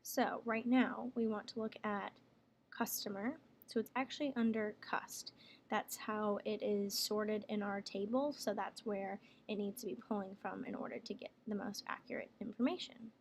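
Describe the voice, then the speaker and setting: A woman working as a software trainer, explaining each step plainly in a casual screen tutorial. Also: medium pitch, steady tone, moderate pace. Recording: clear background noise, room ambience.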